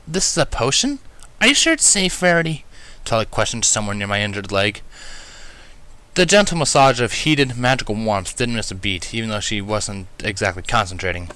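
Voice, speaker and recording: A man reads fiction aloud in a bright, lively voice. His narration is wry and expressive, with a widely moving pitch and the odd stumble. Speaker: fan fiction reader. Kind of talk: expressive first-person story narration.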